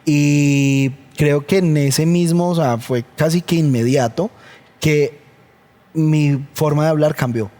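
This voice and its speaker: A man's voice: a little high, dark tone. A man from Colombia with a Colombian Spanish accent, earnestly telling his conversion story as a guest on a church program. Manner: earnest, measured and reflective. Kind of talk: personal testimony in conversation.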